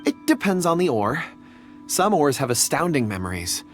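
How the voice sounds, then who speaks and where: A man's voice: a little high, big pitch swings, moderate pace, loud music behind the voice. A man acting a polite, earnest and slightly hesitant young man in a video game. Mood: earnest explaining. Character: polite, earnest young man who reads ore memories.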